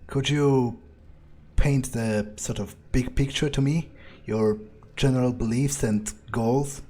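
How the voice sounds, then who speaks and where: Man playing a husky-voiced rookie vampire. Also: medium pitch, fairly even intonation, slow, dark tone. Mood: cautious, curious. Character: male rookie vampire turncoat, blunt, self-justifying. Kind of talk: in-character video game roleplay.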